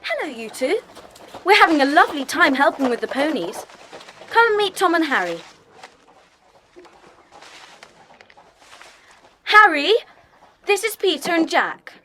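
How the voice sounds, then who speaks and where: A woman's voice: high voice, sing-song, moderate pace, pushed and bright, slight background noise, exaggerated voice. A woman playing an eager schoolchild in a children's mystery audio drama, keen and chatty. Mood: cheerful.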